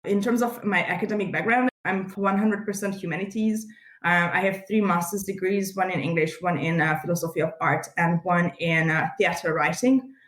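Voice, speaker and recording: A woman from Hungary, an animated podcast guest who laughs easily and fills her talk with "like" and "you know", speaking over a video call. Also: on the low side, normal speed. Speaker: guest, community founder. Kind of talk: casual interview chat. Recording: echoey room on a video call.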